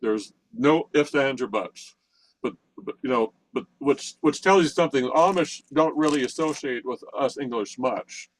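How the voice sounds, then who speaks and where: A man's voice: mid-range voice, normal speed, a little hiss or hum, low-quality recording. An older man from central Pennsylvania telling a long story in casual chat, rambling with many restarts and chuckles, on a video call. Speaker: participant in a technical session.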